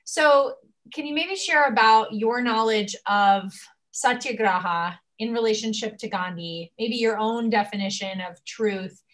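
A woman's voice: a little high, a little slow, fairly bright tone. A young woman hosting a casual conversation, effusive and emotional, with many fillers. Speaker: host.

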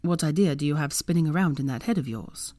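A woman reads as a brooding, tender man in a romantic story. The voice sits low and dry, protective and devoted. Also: quick pace, mellow, no echo, close to an everyday voice. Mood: eager.

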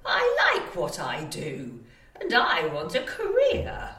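A woman's voice: fairly low pitch, huge pitch swings, takes it slowly, pushed and bright, exaggerated voice. A woman acting a young, independent nursing student in an audio play, teasing and colloquial, in a roomy recording. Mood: guarded, teasing. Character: gran reliving herself as a young nursing student, cheeky, independent. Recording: roomy, echoing sound.

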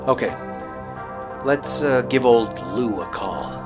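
A man's voice: medium pitch, animated, slow, over music. A man playing an earnest, stubborn young rookie radio DJ in an audio horror drama, sometimes hesitant and pleading. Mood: nervous. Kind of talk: dramatic audio horror acting, naturalistic dialogue.

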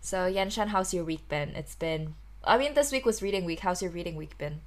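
A woman's voice: fairly low pitch, lively intonation, a little fast. A young woman from Hong Kong hosting a casual podcast chat, talking freely with lots of "like" fillers and easy laughter. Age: young adult. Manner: chatty, frequent "like" fillers, laughs easily. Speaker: podcast host.

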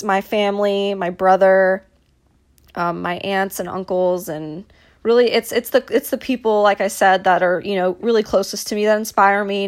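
A young woman from Dallas, hosting a casual solo podcast and talking in a chatty way, with lots of ums and restarts. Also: medium pitch. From Dallas, Texas. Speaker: podcast host, mental performance coach.

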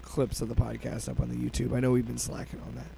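A man co-hosting a casual podcast chat, hoarse from a cold, rambling and restarting his sentences. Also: mid-range voice, completely flat delivery, fast talker, dark tone, a little hiss or hum. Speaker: podcast co-host.

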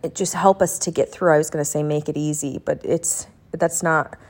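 Low-voiced woman with a stuffy head cold. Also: fairly even intonation, moderate pace, darkish tone. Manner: rambling and chatty, many fillers. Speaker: podcast host. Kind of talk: casual chat and prayer podcast.

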